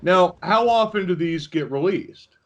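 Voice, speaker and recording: A man's voice: sits high, expressive, unhurried, a bit bright. A man interviewing casually as a podcast host, reacting in a conversational way.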